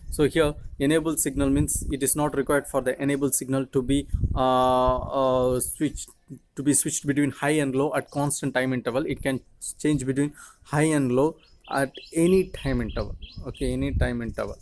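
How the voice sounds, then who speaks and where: A man in his twenties, a native Tamil speaker with an Indian accent, giving an explanatory lecture in a halting, repetitive way. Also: mid-range voice, fairly even intonation, a little mellow, slight background noise. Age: 20s. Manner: halting, repetitive, frequently checking with "okay". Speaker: teacher, video channel host.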